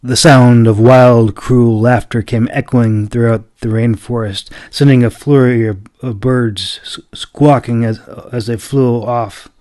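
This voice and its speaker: A man narrating a fantasy audiobook in a dramatic, expressive, deliberate storytelling style. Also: medium pitch, dry recording, clean studio sound.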